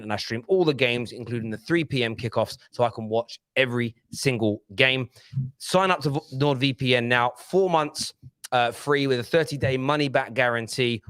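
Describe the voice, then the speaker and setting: A man's voice: medium pitch, fairly bright tone, dry recording. A man presenting a football panel show in a studio, talking casually and insistently as he holds the floor.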